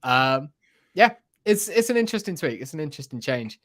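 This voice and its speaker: A man's voice: a little high, sing-song, a little fast, a bit bright. A man giving his opinions in a casual, conversational film discussion as a podcast co-host.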